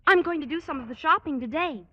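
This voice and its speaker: A woman's voice: sits high, quick pace, lightly acted. An American woman acting a sweet, earnest, naive teenage schoolgirl in an old radio sitcom. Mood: cheerful. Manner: chirpy, earnest. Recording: muffled old radio broadcast.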